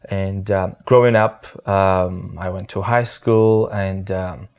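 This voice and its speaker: A young man from Armenia who speaks English as a second language tells his story in a casual interview. His voice sits on the low side. He talks softly and haltingly, pausing often on fillers. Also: fairly even intonation, clean tone. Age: 20s. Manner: soft-spoken, hesitant with many fillers. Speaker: interview guest, former soldier.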